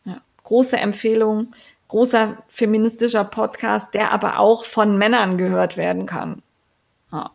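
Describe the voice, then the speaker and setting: A woman's voice: mid-range voice, steady tone, unhurried, vocal fry. A woman co-hosting a podcast, chatting casually with frequent fillers and restarts. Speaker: podcast co-host.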